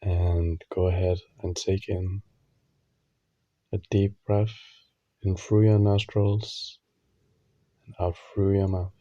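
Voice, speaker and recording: A man leading a guided meditation, giving gentle instructions in short phrases with long pauses. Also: low voice, level tone, no echo, highs cut off.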